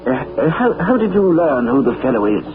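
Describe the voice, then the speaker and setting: An actor playing a flustered, overly polite manservant who stammers and keeps saying sir, in 1940s radio acting. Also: male voice, medium pitch, very animated, a little fast, natural voice. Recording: tinny old radio broadcast with loud hiss.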